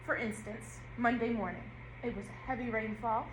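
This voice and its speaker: A woman actor reading an audio play script at rehearsal in a measured storytelling way. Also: fairly high pitch, steady tone, natural voice. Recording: roomy and noisy rehearsal room.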